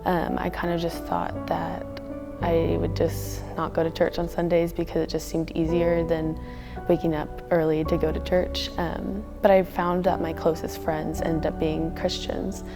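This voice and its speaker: A woman's voice: lowish voice, flat delivery, unhurried, vocal fry, over music. A young woman from Texas, a college student, casually telling her faith story with many fillers. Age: young adult. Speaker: student giving testimony. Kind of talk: personal testimony.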